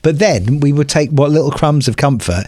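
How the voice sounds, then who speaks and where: A man's voice: on the high side, lively intonation, moderate pace, darkish tone, dry recording. A middle-aged British man co-hosting a podcast, chatting casually and wryly as he reminisces. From United Kingdom. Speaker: podcast co-host. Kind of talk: casual nostalgic chat.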